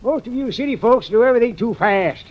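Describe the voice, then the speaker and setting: An actor playing a folksy, hesitant old boathouse proprietor in a 1940s radio drama. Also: male voice, extremely high pitch, lively intonation, a little fast. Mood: folksy, chatty. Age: older. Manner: folksy, hesitant, chuckling drawl. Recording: muffled 1940s radio recording.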